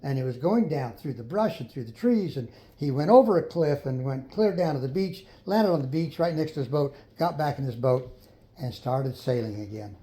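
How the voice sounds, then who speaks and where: An older man tells a made-up children's tale as a family storyteller. His voice is mellow and relaxed, with a middling pitch. He is folksy and unhurried, leaving gaps for listeners to chime in. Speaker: grandfather storyteller.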